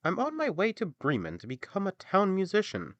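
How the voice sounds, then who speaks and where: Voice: medium pitch, huge pitch swings, a little fast, a bit bright, no room sound, dull audio, pitched above the performer's own voice. A man voicing a friendly, encouraging old donkey in a fairy tale, with a hearty, persuasive manner.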